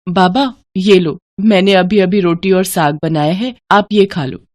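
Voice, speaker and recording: Woman playing a gentle, pleading young wife. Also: lowish voice, steady tone, measured pace, natural voice. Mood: respectful. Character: kind, pious, pleading young daughter-in-law.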